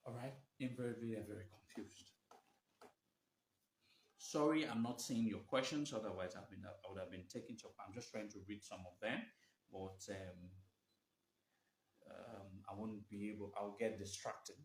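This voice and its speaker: A man's voice: on the low side, moderate pace, a little hiss or hum. A man hosting a live stream, talking off the cuff, hesitant and rambling, with many fillers and restarts. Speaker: host of a live video show on history. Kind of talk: unscripted live-stream talk. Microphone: phone or webcam during a live stream. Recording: echoey room.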